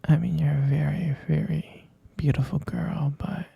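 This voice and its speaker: A man playing a hesitant, concerned middle-aged stepfather in a scripted ASMR roleplay, speaking in a breathy, gravelly, halting hush. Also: a little high, little pitch movement, no room sound, muffled recording, exaggerated voice. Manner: halting, hushed, drawn-out delivery.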